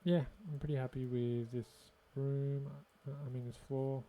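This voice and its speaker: A man's voice: mid-range voice, level tone, normal speed, dark tone. An Australian man giving casual gameplay commentary, musing and thinking aloud to himself. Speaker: gaming video host.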